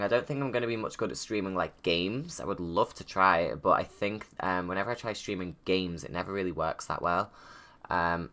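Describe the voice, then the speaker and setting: Low-pitched man, bright voice, casual rambling commentary. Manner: informal, rambling, thinking aloud. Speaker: video creator giving commentary.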